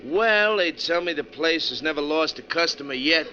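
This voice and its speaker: A man's voice: fairly high pitch, expressive, normal speed, sharp tone. A man with an American accent acting an easygoing, colloquial bus driver who narrates his story in an old-time radio drama. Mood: matter-of-fact. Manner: colloquial, wry. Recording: noisy, dull old-time radio recording.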